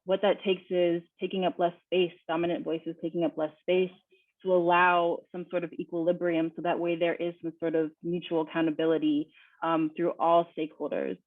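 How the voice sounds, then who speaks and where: A woman speaks calmly on a panel. She has a flat, even delivery, and her voice comes through a tinny, phone-like line with a dry sound. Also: fairly low pitch, normal speed, no room sound. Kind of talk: panel discussion.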